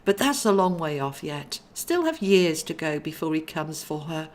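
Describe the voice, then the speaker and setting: A woman's voice: deep voice, moderate pace. A woman voicing a fretful, protective young female guardian angel in a storybook, chatty and earnest. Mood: worried. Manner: chatty, earnest, easily excited.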